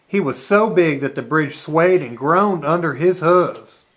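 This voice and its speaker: A storyteller with a medium-pitched voice, narrating a children's puppet-show tale in an animated storybook style. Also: measured pace, a little hiss or hum.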